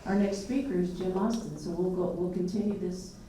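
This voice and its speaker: A woman moderator introduces speakers in a loose, chatty way, her voice sitting a little low. She is picked up from a distance in a very echoey hall, with clear background noise. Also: fairly even intonation, fairly quick, mellow. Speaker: forum moderator. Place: lecture hall. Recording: echoey hall, mic far off, noisy.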